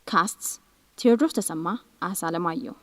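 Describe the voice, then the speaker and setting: A woman's voice: lowish voice, normal speed, no echo, long reverb tail. A woman reading out programme credits as a scripted announcement.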